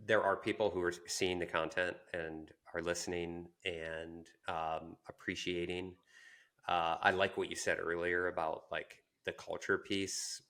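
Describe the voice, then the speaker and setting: A man co-hosting a podcast, talking casually and reflectively, pausing to search for his words. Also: low voice, fairly bright tone. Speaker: podcast co-host.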